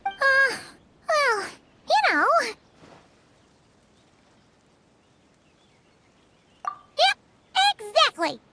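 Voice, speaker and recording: A woman voicing a small, childlike floating companion in a video game, chirpy, excitable and bubbly. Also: very high-pitched, slow, heavily acted. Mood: sheepish. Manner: speaks of self in third person, bubbly. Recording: background music under dialogue.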